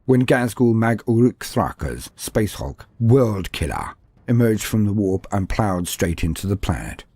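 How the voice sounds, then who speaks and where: A man's voice: deep voice, mellow, breathy edge, no room sound. A man narrating fictional war history as a scripted, documentary-style lore storyteller.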